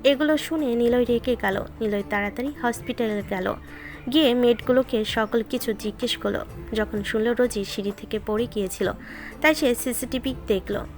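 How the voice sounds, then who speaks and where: A woman's voice: a little high, normal speed. A woman reading scripted narration of a romantic story, with music behind her voice.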